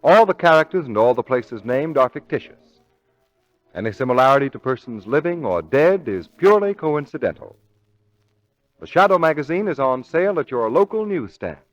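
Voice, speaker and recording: A man's voice: mid-range voice, very animated, normal speed, no echo, lo-fi sound. A man reading a scripted announcement in 1930s radio style as the programme's announcer. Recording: vintage radio over music.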